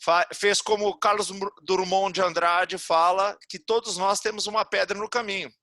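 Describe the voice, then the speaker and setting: A man's voice: sits high, fairly even intonation, moderate pace, sharp tone, no echo, clean studio sound. A Brazilian man hosting a podcast interview, effusive and folksy as he wraps up the talk. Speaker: podcast host.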